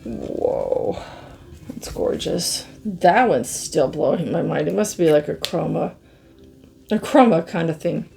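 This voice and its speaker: A middle-aged woman with a hoarse, scratchy voice, chatting slowly to viewers as she reviews art pens and marvels at their colors. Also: low voice, animated, darkish tone, soft background music. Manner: slow, musing, easily amazed, talks to viewers. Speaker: craft channel host. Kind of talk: casual product review chat.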